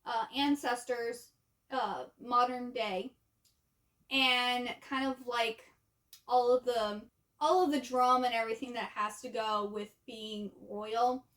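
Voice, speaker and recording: A woman's voice: a little high, steady tone, slow, fairly bright tone. A woman chatting casually and informally, like a book vlogger talking to viewers, with asides and trailing thoughts. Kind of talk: casual chat to camera.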